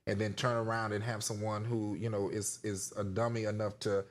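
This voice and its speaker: A man speaking as a talk show panelist in unscripted discussion, earnest and halting, often repeating his words. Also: lowish voice, level tone.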